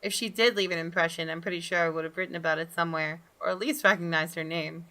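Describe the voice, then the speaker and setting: Bright-voiced woman narrating a visual novel. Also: lowish voice, fairly even intonation, fast. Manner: stumbles and rereads phrases. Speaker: gamer reading a visual novel aloud. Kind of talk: dramatic scripted narration of first-person text.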